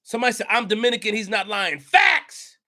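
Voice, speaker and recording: A man delivers a passionate monologue on his own show. His voice sits very high and cuts sharply, and he hammers his points home with repetition and bursts of shouting. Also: very animated, fairly quick. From New York. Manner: emphatic, repetitive, rising to shouts. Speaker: show host. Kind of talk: impassioned commentary monologue.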